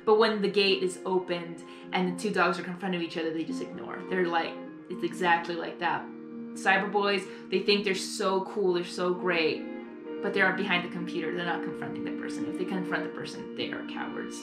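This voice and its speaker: A woman's voice: lowish voice, steady tone. Young woman rambling in an echoey room. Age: young adult. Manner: earnest, halting, apologetic. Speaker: reaction video host. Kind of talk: casual, rambling live reaction commentary. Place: small bedroom, indoors. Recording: echoey room, music behind.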